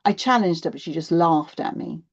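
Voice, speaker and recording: A woman's voice: lowish voice, expressive, moderate pace, slightly rough, dull audio. A woman voicing an aggrieved thirteen-year-old schoolgirl in a children's audiobook, sulky and frustrated, with a complaining manner. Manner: complaining, indignant. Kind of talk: acted children's audiobook dialogue.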